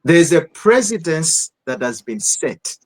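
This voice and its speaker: Animated Zambian man moderating a debate. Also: fairly high pitch, slow pace. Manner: animated, repeats words and mixes in local language phrases. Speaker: podcast host moderating a panel. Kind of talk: heated panel debate.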